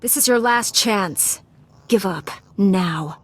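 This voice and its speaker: A woman's voice: medium pitch, slow, slightly rough. An actress playing a principled female Jedi knight in a video game, speaking in an earnest, firm, composed way. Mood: defiant.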